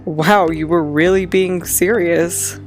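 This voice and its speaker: A woman's voice: lowish voice, steady tone, takes it slowly, soft background music. A young woman acting as an amused, casual friend in an audio drama, speaking in a teasing, conversational way.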